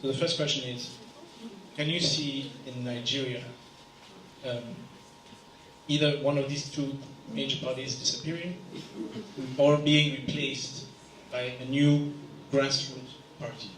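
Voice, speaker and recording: A man from Kenya asking a question from the audience at a round table, in a measured, explanatory way. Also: mid-range voice, fairly bright tone, slight background noise. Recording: echoey room, picked up from a distance.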